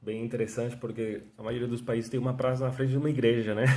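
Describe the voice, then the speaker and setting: Venezuelan-accented man, 30s, relaxed mellow tone, chatty self-interview vlog, echoey home room. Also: mid-range voice, brisk. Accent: Venezuelan Spanish. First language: Spanish. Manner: chatty, restarts often, frequent tag questions. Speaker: freelance artist telling his story. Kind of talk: casual self-interview monologue. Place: small room at home, indoors. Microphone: phone camera at arm's length.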